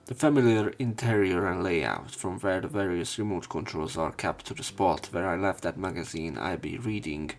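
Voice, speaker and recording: A man narrates a visual novel as a let's play host. His voice is fairly low with a lot of creak, and he mixes reading with casual commentary. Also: moderate pace, hardly any pauses.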